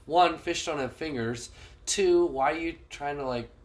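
A man's voice: fairly high pitch, measured pace. A man co-hosting a podcast in casual chat, talking with a stuffy nose in a joking, sarcastic way. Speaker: podcast co-host. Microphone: phone call.

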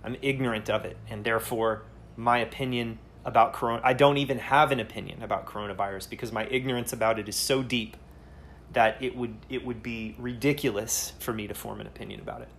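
A man hosting a solo podcast, speaking earnestly and haltingly, often pausing to search for words. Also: mid-range voice, fairly even intonation, vocal fry, fairly bright tone, slight background noise. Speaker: podcast host.

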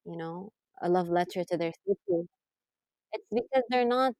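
A woman from Saudi Arabia in a casual podcast conversation, reflective and soft-spoken, often drifting quieter. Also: lowish voice, big pitch swings, moderate pace, gravelly, no room sound. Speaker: podcast guest.

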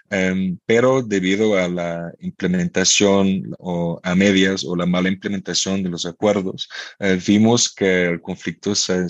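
A man from the Netherlands whose first language is Dutch, answering interview questions as a researcher and journalist, measured, with frequent hesitations and restarts. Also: low voice, dry recording, dull audio.

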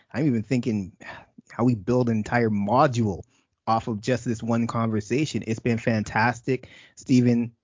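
Canadian lecturer hosting, super enthusiastic, dry muffled audio. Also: male voice, mid-range voice, a little mellow, no echo. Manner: enthusiastic, effusive praise. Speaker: university lecturer, host. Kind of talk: podcast interview. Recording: dry, dull lo-fi sound.

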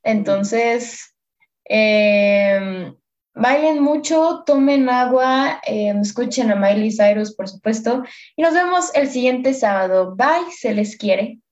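A woman's voice: a little high, lively intonation, takes it slowly, a little mellow. A young woman hosting a podcast, talking in a laid-back, friendly, rambling way. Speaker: podcast host. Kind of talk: casual chat. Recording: slightly dull lo-fi podcast audio.

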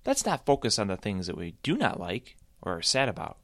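A man's voice: fairly low pitch, wildly swooping pitch, fairly quick, fairly bright tone, no echo, full character voice. A man voicing a cheerful, always-happy male bunny who talks in an eager, encouraging way.

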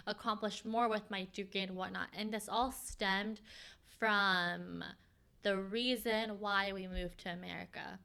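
A young woman with Farsi as her first language talks openly on her podcast, pausing often and searching for words. Her voice is bright and crisp. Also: medium pitch, fairly even intonation. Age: young adult. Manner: reflective, open, halting with fillers. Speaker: podcast host. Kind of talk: casual heart-to-heart chat.

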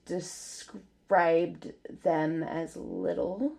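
Brief: woman co-host, low, gravelly, mellow, easygoing. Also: steady tone, drawn-out words. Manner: amused, easygoing. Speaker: podcast co-host. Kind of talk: casual chat.

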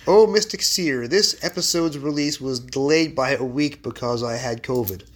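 A man hosting a podcast, slightly hoarse after an illness, chatting casually and reading fortune cards aloud with wry mock drama. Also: mid-range voice, normal speed. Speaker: podcast host. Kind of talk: casual chat. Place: home library.

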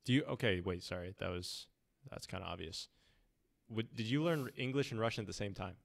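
A man's voice: fairly low pitch, a little fast, creaky. A young man hosting a casual podcast, easygoing and teasing as he asks questions and trades stories. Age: young adult. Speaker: podcast host. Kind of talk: casual chat.

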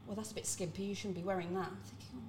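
A young woman who grew up in the Netherlands, a podcast guest telling her stories in a relaxed, candid way. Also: mid-range voice, very fast, a little hiss or hum. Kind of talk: casual interview chat.

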